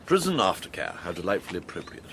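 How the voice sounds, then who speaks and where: Plummy, sly upper-class English spymaster. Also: male voice, sits low, sing-song, fast talker, bright, forceful tone, a little hiss or hum, heavily acted. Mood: arch, smug. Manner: arch, languid, plummy. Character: suave, sly, camp older English intelligence agent. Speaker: radio actor. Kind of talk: radio drama acting.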